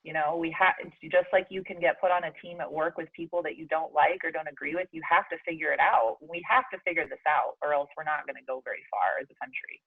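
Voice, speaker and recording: A woman's voice: low voice, quick pace, creaky, few pauses, husky, no room sound. A woman from Jordan, a guest in an interview, giving reflective answers hesitantly, with many fillers and restarts. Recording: tinny phone line, dry.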